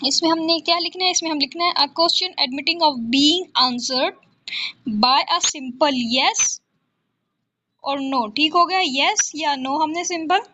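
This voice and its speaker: Woman, Pakistani accent, high voice, lecturer, repetitive explanations, self-answered questions, dry lo-fi recording. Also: bright, forceful tone, no room sound. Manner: switches between Urdu explanation and slowly read English. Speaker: law lecturer. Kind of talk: exam-prep lecture, reading out and explaining notes. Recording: dull, lo-fi close recording.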